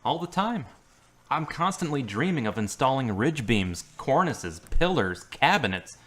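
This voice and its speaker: A man's voice: medium pitch, big pitch swings, measured pace, a bit bright. A wry carpenter out of hospital, played by a man. He speaks in a plain everyday voice with a dry, sardonic edge. The scene comes from an amateur audio drama. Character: wry male carpenter recovering from surgery.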